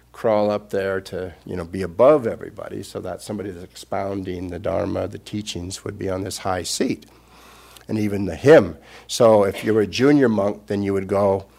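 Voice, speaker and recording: Low gravelly older man giving a Dharma talk. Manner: reflective, gentle, unscripted with pauses. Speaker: Buddhist monk teacher.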